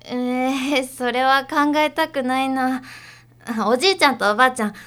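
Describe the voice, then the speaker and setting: A woman plays a young underground idol, perky and pushy. The voice is high and bright. The delivery is girlish and breezily familiar, in radio drama style. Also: steady tone, moderate pace, clean tone. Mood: confiding.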